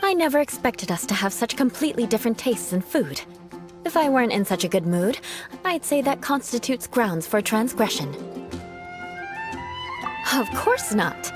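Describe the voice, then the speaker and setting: A woman's voice: on the high side, lively intonation, normal speed, pauses often, natural voice. A woman playing a haughty young aristocratic knight in an anime-style video game, formal and coolly mock-threatening, with a caring streak. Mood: dry. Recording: game music behind the voice.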